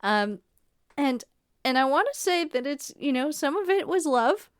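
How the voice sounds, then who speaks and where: A woman co-hosting a podcast from a home setup, speaking softly and quietly into her own mic, with frequent giggles. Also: high voice, animated, unhurried, no echo, clean studio sound. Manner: soft-spoken, quiet, giggly. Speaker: podcast co-host. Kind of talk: casual podcast chat. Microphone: studio mic on an arm.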